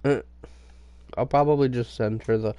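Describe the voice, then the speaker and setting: A young man with a stuffy nose and a raspy voice, chatting loosely and swearing at enemies while he streams a game. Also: medium pitch, steady tone, moderate pace, dark tone, dry recording. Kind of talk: casual gaming commentary.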